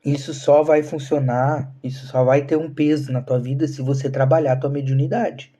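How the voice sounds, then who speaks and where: A man's voice: medium pitch, steady tone, normal speed, mellow. A man, talking earnestly to viewers on a live stream and asking rhetorical questions. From southern Brazil. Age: 30s. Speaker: spiritual channel host.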